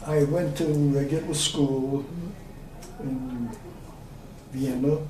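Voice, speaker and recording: A man, recalling his life in an oral history interview, slow and hesitant with many pauses. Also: mid-range voice, steady tone. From Austria. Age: 90s. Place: room at a community center. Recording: echoey room, distant mic, faint hiss.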